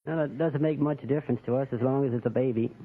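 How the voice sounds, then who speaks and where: A man's voice: medium pitch, fast, slightly rough. A man giving short unscripted answers as a contestant on an old radio quiz show. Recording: old radio, noisy, tinny, loud audience.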